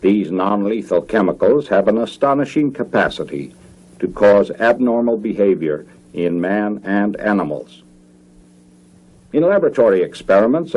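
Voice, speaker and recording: A low, mellow American male narrator from a 1950s educational film. His delivery is formal and measured, with careful enunciation and a slightly husky grain to the voice. It has the sound of a vintage documentary soundtrack. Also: highs cut off.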